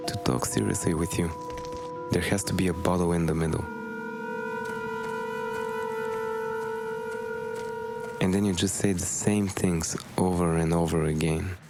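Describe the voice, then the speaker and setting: Low-voiced, reproachful grown son. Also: male voice, steady tone, fairly quick, music in the background. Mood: weary reproach. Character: grown son bitterly addressing his father, weary and reproachful. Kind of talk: scripted animated documentary narration.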